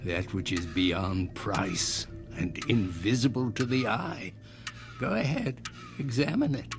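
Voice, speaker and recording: An actor playing an eccentric older inventor in a radio drama, speaking in measured, formal, professorial phrases with cryptic, patient persuasion. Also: male voice, fairly low pitch, animated, over music. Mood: quietly insistent.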